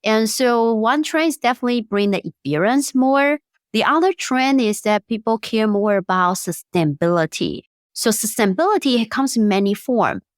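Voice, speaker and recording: Taiwanese woman, late 30s, startup founder guest; smooth, clear voice, dry close recording. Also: on the high side, normal speed, no echo. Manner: non-native grammar, lively storytelling. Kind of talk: interview conversation.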